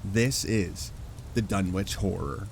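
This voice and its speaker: Male host, slow campfire-style storytelling, lowish voice. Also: gravelly, slight background noise. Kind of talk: scripted spooky narration.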